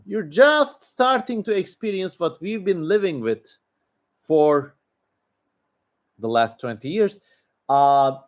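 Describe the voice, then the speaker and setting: Slow-talking Turkish-accented man, higher-pitched voice. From Turkey. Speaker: livestream host. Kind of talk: casual livestream commentary answering viewer questions.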